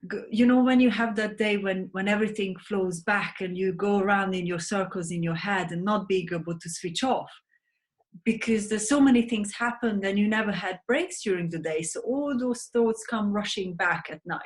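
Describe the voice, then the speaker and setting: A woman's voice: mid-range voice, normal speed. A woman in her thirties from Hungary with a Hungarian accent, a guest in an interview, speaking earnestly with frequent restarts over a video call. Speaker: guest, sleep advocate. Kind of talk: interview conversation.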